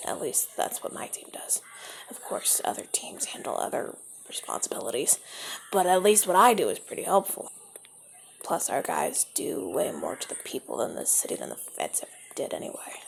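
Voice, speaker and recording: A man voicing a suave young mafia enforcer in an ASMR audio roleplay, speaking softly, often in a whisper, and stammering now and then. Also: fairly high pitch, wildly swooping pitch, normal speed, vocal fry, full character voice. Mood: earnest, confiding. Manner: soft-spoken, often whispering, stammers when flustered.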